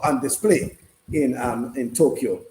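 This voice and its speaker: A man hosting a casual live sports discussion, speaking haltingly and repeating himself. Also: mid-range voice, darkish tone. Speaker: sports radio host. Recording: roomy, noisy room sound.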